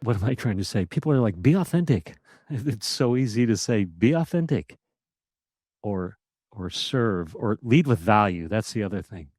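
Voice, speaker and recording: A man's voice: fairly low pitch, sing-song, normal speed, darkish tone, no echo, clean studio sound. A man guesting on a podcast, talking casually and animatedly, laughing often, with a powerful voice that projects. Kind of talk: casual interview conversation.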